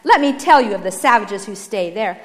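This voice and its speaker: A woman voicing a fault-finding, self-righteous younger brother, loud, smug and accusatory. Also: medium pitch, animated, moderate pace, in a large hall, natural voice. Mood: smug, dismissive.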